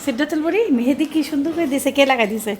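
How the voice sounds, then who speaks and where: A woman's voice: sits high, racing, over music. A woman with a Bangladeshi accent chatting casually in a home vlog, coaxing and full of questions. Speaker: family vlogger, host. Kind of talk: casual home vlog chat with relatives and children. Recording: clattering household noise behind the voice.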